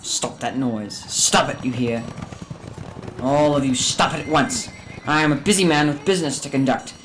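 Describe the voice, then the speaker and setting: A man's voice: medium pitch, normal speed, noisy background, lightly acted. A man playing a crotchety, scolding old miser businessman in a radio drama. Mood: irritated, scolding. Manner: scolding, indignant, barking demands. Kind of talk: stage-like radio drama acting.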